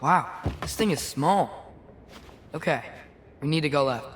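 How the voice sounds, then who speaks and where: A man's voice: fairly high pitch, lively intonation, unhurried, heavy background noise. A young man playing an eager, curious teenage boy adventurer in a video game, talking in a chatty way. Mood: coaxing, focused. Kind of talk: video game cutscene acting.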